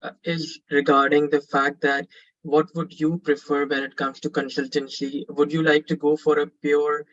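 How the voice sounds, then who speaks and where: A man's voice: fairly high pitch, little pitch movement, moderate pace, a bit bright, lo-fi sound. A man in his twenties from Pakistan, asking an earnest, polite question on a webinar call with frequent fillers.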